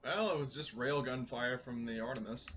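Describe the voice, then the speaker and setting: A man playing a tabletop game, chatting informally and conversationally over clearly audible background noise. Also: medium pitch, normal speed. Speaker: roleplaying game player. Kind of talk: casual chat.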